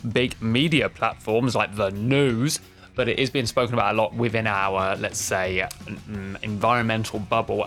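A tired man hosting a podcast in a chatty, informal, self-deprecating way, his voice slightly croaky. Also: on the low side, fairly bright tone. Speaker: podcast host.

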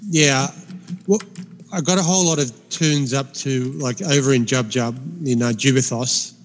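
A man in his forties with a speech impediment, co-hosting a gaming podcast in a halting, filler-heavy way. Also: mid-range voice, fairly even intonation, in a large hall. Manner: halting, many fillers and restarts. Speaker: podcast co-host. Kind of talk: casual chat.

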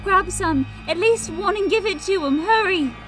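A woman's voice: animated, normal speed, music in the background. A woman playing a fretful old lady neighbor. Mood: urgent. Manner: fretful, motherly, high and thin. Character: kindly elderly woman neighbor, fussing and gentle.

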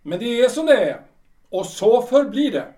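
A man's voice: very high voice, sing-song, mellow, recorded from a distance. A man acting a bitter, brooding retired civil servant in his sixties in a period radio drama, theatrical and fatalistic. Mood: bitter, agitated. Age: older.

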